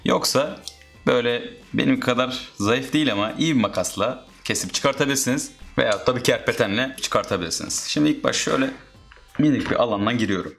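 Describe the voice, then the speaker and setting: A man's voice: mid-range voice, vocal fry, a bit bright. A man narrating a casual step-by-step how-to as a DIY host.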